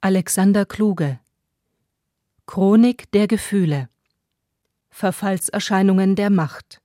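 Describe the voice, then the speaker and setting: A woman formally reading a scripted credits announcement in a measured way. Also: mid-range voice, mellow, dry recording, clean studio sound.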